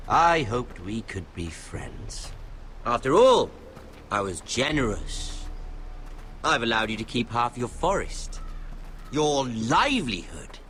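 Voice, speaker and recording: A man's voice: mid-range voice, big pitch swings, slow pace, a bit bright, slight background noise. An actor playing a sadistic young nobleman villain in a video game drama, drawling with mock friendliness and theatrical menace. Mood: mock-friendly menace. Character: sadistic, mocking young nobleman villain who toys with victims.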